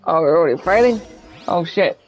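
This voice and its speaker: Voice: mid-range voice, animated, fairly quick. A gamer giving casual commentary while playing through a game. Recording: dull, lo-fi mic. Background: game music.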